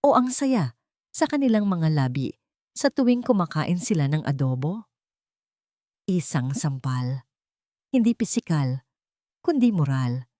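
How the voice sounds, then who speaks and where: A woman narrating a dramatic story from a script in an emotive, deliberate way. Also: deep voice, a little mellow, no room sound, clean studio sound. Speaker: audiobook narrator.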